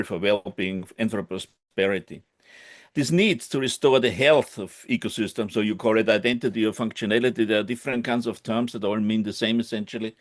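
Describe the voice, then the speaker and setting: A man presenting in a webinar over a video call, explaining with lots of "sort of" and "actually". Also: medium pitch. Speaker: organization co-founder and director.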